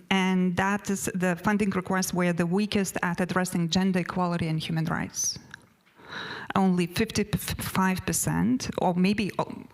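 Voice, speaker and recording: A woman with a Lithuanian accent, a non-native English speaker giving a conference panel presentation from notes, with many hesitations and restarts. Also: fairly low pitch.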